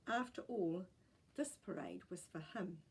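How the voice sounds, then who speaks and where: A woman with a New Zealand accent reading a children's story aloud in an expressive storytelling style. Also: on the low side, unhurried, breathy edge.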